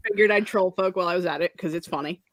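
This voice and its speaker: A woman in casual stream chat, teasing and quick, often laughing. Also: on the low side, dry recording.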